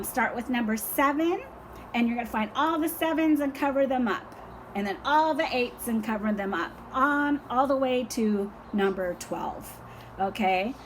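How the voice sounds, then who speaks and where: A slow-paced older woman with a somewhat high voice leads a young child through a lesson, patient and encouraging. Her voice is hoarse and fading. Faint outdoor sounds are heard behind her. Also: a little hiss or hum, low-quality recording. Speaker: teacher.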